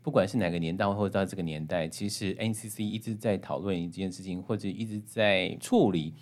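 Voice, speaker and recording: Very deep-voiced Taiwanese male host. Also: a little fast. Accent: Taiwanese Mandarin. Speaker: radio and podcast host. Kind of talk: conversational interview.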